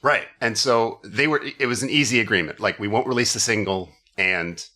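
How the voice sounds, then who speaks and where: A man's voice: lowish voice, fairly quick, sharp tone. A man hosting a comedy podcast, telling stories casually with wry, profane jokes.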